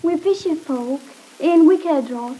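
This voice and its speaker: High-voiced shy little fisher girl. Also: unhurried, warm tone, poor audio. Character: shy young fisher girl, simple and earnest. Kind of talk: 1950s radio drama acting. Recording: 1950s radio recording with music.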